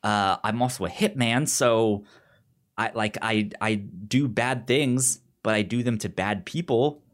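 A man in a casual film discussion, talking haltingly and stammering over repeated words and syllables. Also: on the low side. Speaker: film podcast host.

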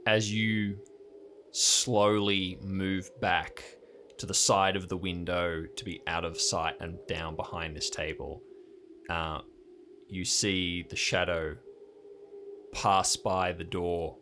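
A man narrating an improvised horror tabletop game as game master, slowly and suspensefully with deliberate pauses. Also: deep voice, level tone, bright, forceful tone, slight background noise.

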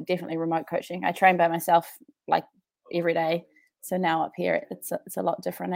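A woman's voice: fairly low pitch, creaky, darkish tone, no room sound. A young woman with a New Zealand accent, chatting as a podcast guest, often laughing and restarting her sentences. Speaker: podcast guest, CrossFit athlete. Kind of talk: casual interview chat.

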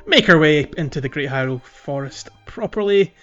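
Higher-pitched male podcast co-host, slightly muffled. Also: expressive, a little fast, a bit bright, soft background music. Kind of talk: casual gaming podcast chat. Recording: slightly dull, lo-fi podcast audio.

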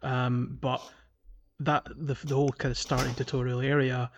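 A man's voice: medium pitch, flat delivery, normal speed, a little hiss or hum. A man co-hosting a casual gaming podcast, explaining his thoughts in a reflective, hesitant way. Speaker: podcast co-host.

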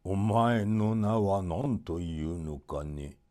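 A man voicing a tall old African sorcerer who talks slowly and coaxingly, feigning kindness while scheming. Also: low voice, warm tone. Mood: coaxing, inquisitive.

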